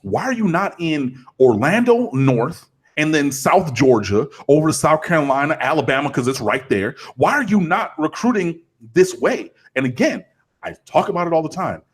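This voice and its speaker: Rambling husky-voiced man talking football. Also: a little high, moderate pace. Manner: rambling, animated, lots of fillers. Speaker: co-host, Miami fan. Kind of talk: casual sports podcast chat.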